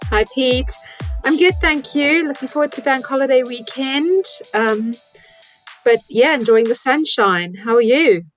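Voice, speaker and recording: A woman's voice: sits high, unhurried, faint music behind. A woman co-hosting a podcast, cheerful and teasing in casual chat. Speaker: podcast co-host.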